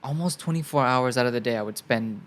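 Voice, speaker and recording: Young thai guy chatting in english, laid back, lots of "like" fillers, mid pitch. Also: fast talker. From Thailand. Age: young adult. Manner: relaxed and chatty, thinks aloud with many fillers. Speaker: guest actor. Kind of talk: casual interview answers.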